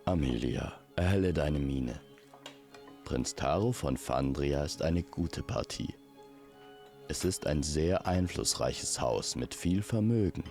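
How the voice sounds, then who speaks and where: Man as middle-aged king, low voice, authoritative, fatherly, admonishing, storybook audio play. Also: expressive, slow, darkish tone, soft background music, heavily acted. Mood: persuasive.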